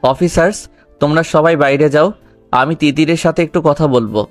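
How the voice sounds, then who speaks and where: A man's voice: a little high, fairly quick, dry recording, faint music behind, close to an everyday voice. A man playing a stern, jealous boss in love in a romantic audio story, intense and earnest.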